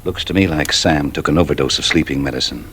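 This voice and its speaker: Deep, husky, kindly country doctor. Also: male voice, fairly bright tone, heavily acted. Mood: soothing. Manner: slow, soothing, hesitant. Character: calm, kindly small-town family doctor, male. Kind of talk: 1950s radio drama acting.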